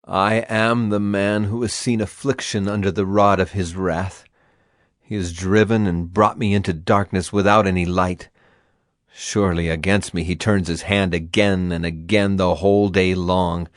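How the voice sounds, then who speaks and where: A man's voice: deep voice, level tone, moderate pace, dry recording, clean studio sound, natural voice. A man playing an earnest, afflicted prophet who laments in a dramatized scripture reading.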